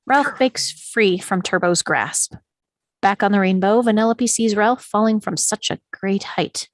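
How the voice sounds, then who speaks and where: A woman's voice: medium pitch, unhurried, no echo. A woman reading stage directions as scripted narration at a table read, in a dramatic storytelling manner.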